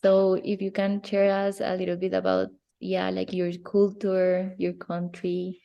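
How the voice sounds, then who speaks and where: A woman's voice: on the low side, level tone, measured pace, gravelly, dark tone, highs cut off. A woman interviewing a student, asking friendly, curious questions.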